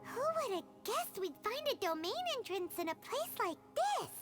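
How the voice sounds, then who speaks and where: A woman's voice: extremely high pitch, sing-song, normal speed, over music. A woman voicing a small, chirpy, cheeky childlike fairy companion in an anime game, exclaiming and talking in third person. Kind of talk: anime video game voice acting.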